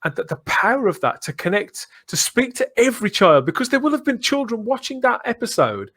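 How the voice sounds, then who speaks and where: A man's voice: very high-pitched, very animated, a little fast, a bit bright. Effusive middle-aged man hosting a podcast. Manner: effusive, emphatic, discursive. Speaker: podcast host. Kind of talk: casual enthusiastic fan discussion.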